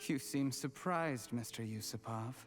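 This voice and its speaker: An actor playing a calculating, bitter young man in a video game, speaking in a measured, formal manner. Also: medium pitch, music in the background, lightly acted. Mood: bitter, defiant. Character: calculating, bitter young male schemer posing as servant.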